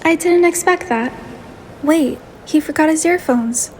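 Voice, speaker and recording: A woman's voice: high voice, a little slow, darkish tone, a little hiss or hum. A woman playing a shy, curious young heroine in a game story, speaking her dialogue and inner thoughts. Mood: shy, musing. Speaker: voice of the player heroine, fan narration. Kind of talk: acted visual novel dialogue and inner monologue.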